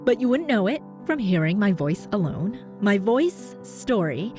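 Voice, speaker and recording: A woman from Canada with a neutral North American accent, hosting a podcast as a voice coach. She talks in an upbeat, encouraging way and jumps up in pitch for emphasis. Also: very animated, unhurried, music in the background. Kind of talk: scripted podcast monologue.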